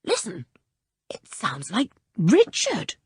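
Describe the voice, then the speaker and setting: A woman reading a calm, stern older boy who takes charge, in a level, commanding, scornful way. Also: mid-range voice, takes it slowly, husky, dry recording, clean studio sound, full character voice. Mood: urgent. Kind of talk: audiobook character reading.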